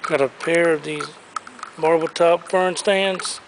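A man's voice: a little high, fairly even intonation, takes it slowly, noisy background. Upbeat man touring an auction floor. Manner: upbeat, enthusiastic, exclaiming over items. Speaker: auctioneer giving a preview walkthrough. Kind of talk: walking preview tour of sale items. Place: large indoor auction warehouse. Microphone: handheld camera he carries as he walks.

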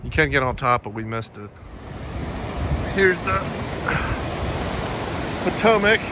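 A man narrating a hiking vlog in a casual, matter-of-fact, halting way as he walks. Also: on the high side. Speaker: hiking vlogger. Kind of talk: casual walk-along narration. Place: outdoors in woods by a river. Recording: outdoor phone video with ambient noise.

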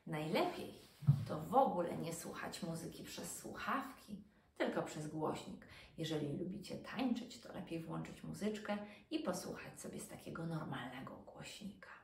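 A woman in her thirties, a doctor and storyteller, giving an educational talk to young children in an expressive way, with rhetorical questions and dramatic pauses. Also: deep voice, vocal fry. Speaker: doctor and children's storyteller presenting health tips. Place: small furnished room indoors. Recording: roomy, distant mic with background noise.

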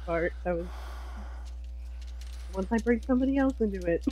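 A woman's voice: mid-range voice, expressive, normal speed, dark tone. A woman player chatting casually and narrating an online roleplay, on a slightly dull lo-fi recording.

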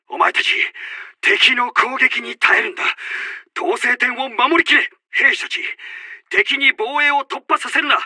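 A man's voice: very high voice, sharp tone, dry recording, exaggerated voice. A man playing a stern, urgent Japanese army officer in a war video game, barking clipped tactical announcements and orders. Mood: commanding, rallying. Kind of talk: shouted tactical voice lines.

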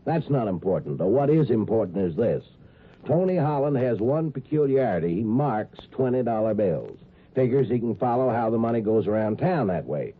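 An actor playing a wry, world-weary small-town police chief in a 1950s radio drama. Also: male voice, on the low side, very animated, moderate pace, slightly rough. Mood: wry, matter-of-fact. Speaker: police chief narrator and character. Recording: tinny 1950s radio, music behind.

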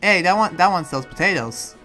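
A person improvising a relaxed demon slayer in a game roleplay, with a hesitant, halting delivery. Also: medium pitch. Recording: music behind the voice.

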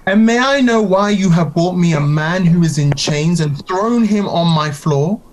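A woman's voice: fairly low pitch, animated, measured pace, a little mellow, a little hiss or hum. A woman playing an irritated, imperious Roman governor in an audio play. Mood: irritated, haughty. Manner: imperious, questioning. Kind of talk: dramatic audio play acting.

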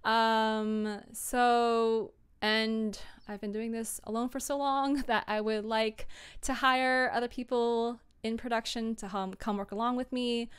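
A woman's voice: a little high, level tone, takes it slowly, smooth. A young adult woman chatting casually on a livestream as a creator giving tips, in an informal, self-deprecating, giggly manner. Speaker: streamer and audio drama creator. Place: small home room, indoors.

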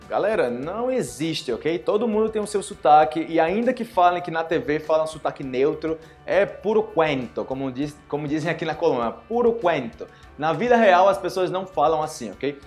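Young man, Northeastern Brazilian accent, fairly high voice, lively to-camera lesson, faint music under it. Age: young adult. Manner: animated, gestures a lot, acts out dialogues. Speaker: Portuguese teacher. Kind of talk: online language lesson to camera. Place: small indoor room with plain wall.

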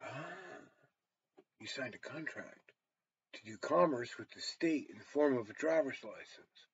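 A man's voice: medium pitch, animated, a little slow, breathy edge. An American man in his sixties giving a blunt, emphatic, profanity-heavy rambling monologue to a camera in a small room. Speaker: storyteller and vlogger. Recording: dull, lo-fi webcam sound.

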